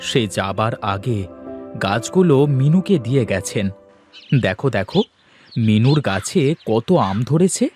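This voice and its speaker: A man voicing a caring, protective village father in a cartoon, with an expressive, fatherly, questioning manner. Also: mid-range voice, measured pace. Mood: fond. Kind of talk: cartoon voice acting. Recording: music behind the voice.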